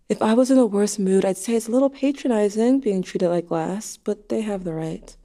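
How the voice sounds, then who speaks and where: A woman's voice: lowish voice, dark tone, lightly acted. A young woman playing a grieving, anxious teenager, narrating an intimate, wry diary to a dead sibling in an audio drama.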